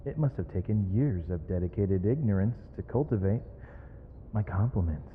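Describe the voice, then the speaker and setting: An actor playing a proud, weary captive mage in an ASMR roleplay, with eloquent, theatrical and measured formal diction. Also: male voice, fairly low pitch, lively intonation, natural voice. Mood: mocking, disdainful. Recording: reverberant hall, faint noise, dull lo-fi sound.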